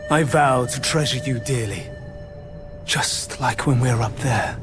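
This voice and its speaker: Slow, smooth scheming suitor played by a man. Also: mid-range voice, music in the background, natural voice. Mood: tender, persuasive. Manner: measured, insinuating, formal. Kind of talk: dramatic video game cutscene acting.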